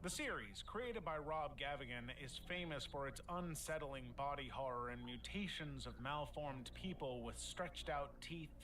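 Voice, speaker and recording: A man's voice: on the high side, animated, moderate pace, hardly any pauses, a bit bright, distant mic, slight background noise, poor audio. A man reading scripted narration for a horror explainer video.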